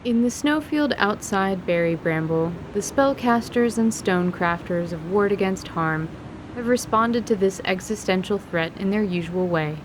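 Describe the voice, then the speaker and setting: A woman's voice: mid-range voice, normal speed, slight background noise, low-quality recording. A woman reading scripted narration for a fantasy radio drama.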